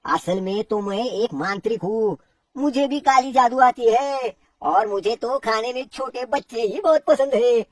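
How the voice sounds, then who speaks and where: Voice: medium pitch, a little slow, no room sound, distant mic, voice pushed up, close to an everyday voice. A performer voicing a sly, coaxing male woodcutter who boasts as a trickster in a storybook horror tale. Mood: boastful. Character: sly male woodcutter posing as a child-eating sorcerer.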